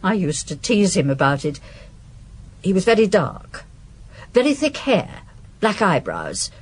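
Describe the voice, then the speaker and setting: An actress playing a blunt, guarded former servant giving evidence in a radio detective drama, dry and sardonic. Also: female voice, fairly low pitch, wildly swooping pitch, measured pace, slightly rough, exaggerated voice. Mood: recalling, guarded.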